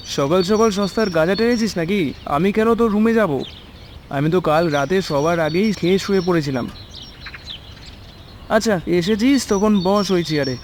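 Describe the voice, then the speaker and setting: High-pitched, brusque male friend played by an actor. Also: lively intonation, moderate pace, long pauses, a little hiss or hum. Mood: dismissive scolding. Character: brusque, dismissive young male friend hosting a guest. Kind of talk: horror cartoon voice acting.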